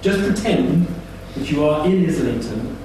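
A man's voice: fairly high pitch, animated, measured pace. A man on a live panel giving advice informally, in emphatic short instructions. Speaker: festival panellist. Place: indoor live event venue. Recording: very echoey room, distant mic, noise.

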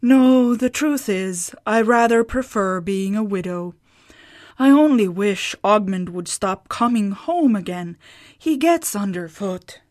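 A woman voicing a practical, exasperated farm wife who speaks bluntly and indignantly, at a slow pace. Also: medium pitch, lively intonation. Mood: wry.

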